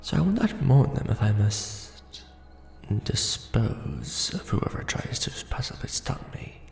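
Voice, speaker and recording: A man playing a gravelly, hushed vampire lord. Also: mid-range voice, wildly swooping pitch, in a whisper, heavily acted. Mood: grave, determined. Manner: formal, hushed, deliberate, theatrical aristocratic address. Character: vampire lord, courtly, brooding, possessive ruler. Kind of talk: ASMR roleplay. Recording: roomy echo under background music. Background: music.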